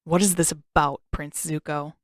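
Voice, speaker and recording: A woman's voice: sits low, steady tone, moderate pace, no room sound. Skeptical male lieutenant played by a woman, formal address, grudging duty, audiobook, dry recording.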